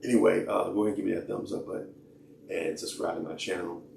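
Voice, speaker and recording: A man hosts his own channel in loose, rambling talk, his voice hoarse and fading. His voice is very deep and gravelly. It is picked up from a distance in an echoey room with faint background noise. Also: fairly even intonation, quick pace, a little mellow. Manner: rambling, full of fillers and restarts. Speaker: channel host. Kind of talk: casual rambling vlog talk. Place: indoors in a room.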